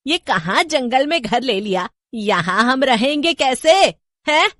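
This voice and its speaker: A woman voicing a sarcastic, scolding old mother-in-law in a horror story, grumbling in sharp rhetorical questions. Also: high voice, lively intonation, unhurried, no room sound, clean studio sound, voice pushed up. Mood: angry, scolding. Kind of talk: Hindi horror storybook narration with voices.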